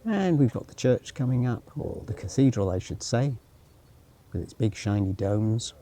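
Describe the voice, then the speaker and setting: A man's voice: mid-range voice, animated, normal speed, dark tone. A man giving an unscripted travel commentary as he walks, musing to himself with wry asides and sometimes reading signs aloud. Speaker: travel vlogger. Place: outdoors, walking around a former military town.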